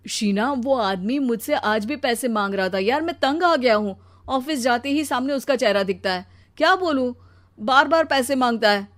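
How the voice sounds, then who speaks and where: Woman acting a weary, high-voiced, overburdened husband. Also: normal speed, a bit bright, natural voice. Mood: fed up. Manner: pleading, exasperated. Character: weary, overburdened husband, anguished office worker. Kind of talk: dramatised Hindi audio story.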